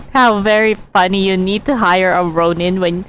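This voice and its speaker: Female game streamer, casual musing commentary, slow pace, medium pitch, faint noise and game ambience. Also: fairly even intonation, fairly bright tone. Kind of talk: casual live gameplay commentary.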